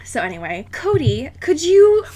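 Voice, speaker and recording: A woman's voice: high-pitched, very animated, moderate pace, a crowd in the background. A woman co-hosting a podcast in casual comedic chat, sarcastic and sweary, often talking through giggles. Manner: sweary, sarcastic, dramatic exaggeration. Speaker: podcast co-host.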